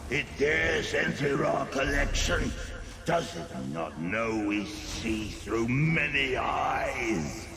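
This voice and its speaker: An actor playing a haughty male creature collector who speaks of himself as plural, in a deep gravelly voice with slow, imperious delivery. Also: expressive, background noise. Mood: enraged. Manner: slow, imperious, refers to others as "it". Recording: echoing hall reverb with game ambience.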